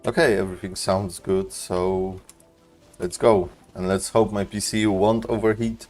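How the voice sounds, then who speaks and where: A young man livestreams a game with casual, joking commentary. His voice is low and he takes his time, with faint music behind him. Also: fairly even intonation, unhurried, a little mellow. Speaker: game streamer.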